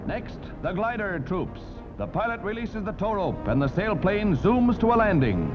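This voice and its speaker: 1940s American male newsreel narrator, declamatory, very animated pitch, dull vintage recording, scripted voice-over. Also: normal speed. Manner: dramatic, declamatory delivery. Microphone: studio voice-over. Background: loud orchestral music.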